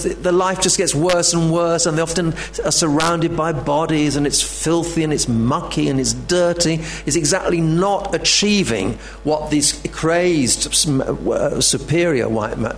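A man giving an academic lecture, halting and full of fillers and restarts. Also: a little high, creaky, slight background noise.

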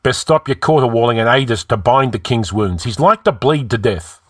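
A man's voice: medium pitch, lively intonation, moderate pace, no room sound, studio quality, pitched above the performer's own voice, natural voice. A man voicing an insolent, impatient military commander who snaps cavalierly in a fantasy audiobook. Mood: scornful. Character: brusque, insolent male guard commander.

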